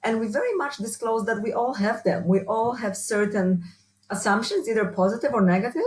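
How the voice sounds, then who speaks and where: A woman with a strong Polish accent answering interview questions as a researcher and trainer, often restarting and filling pauses. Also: a little high, rough recording. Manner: hesitant, many restarts and fillers. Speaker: workshop speaker, researcher.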